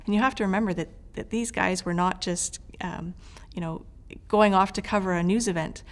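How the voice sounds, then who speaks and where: A woman's voice: lowish voice, smooth. A woman giving earnest interview remarks as an organization's representative, repeating phrases for emphasis.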